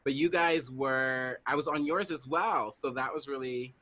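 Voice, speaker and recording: A man from the US East Coast hosting a casual podcast chat, enthusiastic and quick to laugh. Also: mid-range voice, sharp tone. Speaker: podcast host.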